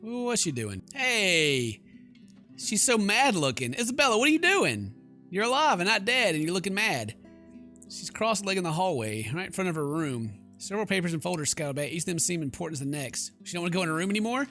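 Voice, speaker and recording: A man hosts a gaming video with joking, playful commentary. His voice is bright and forceful and swings widely as he slips into mock voices, with some music behind him. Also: high voice, very animated, fairly quick. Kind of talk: playful let's-play commentary.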